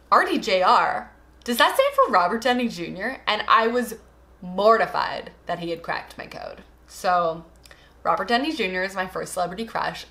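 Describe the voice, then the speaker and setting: A woman's voice: medium pitch, sharp tone. A young adult woman with a Canadian accent, chatting casually and emphatically to her viewers as a vlogger, often trailing off at the ends of phrases. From Toronto, Canada. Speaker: vlogger introducing herself. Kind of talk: casual chat to camera, get-to-know-me answers.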